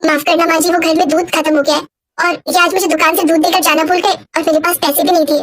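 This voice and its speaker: A woman's voice: very high-pitched, level tone, fast. A woman voicing a humble, dutiful, poor daughter-in-law with an earnest manner in a cartoon story. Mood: apologetic. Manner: meek, earnest. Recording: clear background noise.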